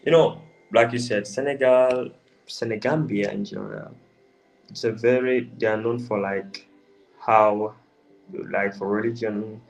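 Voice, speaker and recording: A young man from the Gambia speaks as an interview guest. His voice sits low and carries a gravelly fry, and he talks haltingly, restarting his sentences and filling the pauses with fillers. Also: low-quality recording. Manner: hesitant, many restarts and fillers. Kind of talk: casual interview answers.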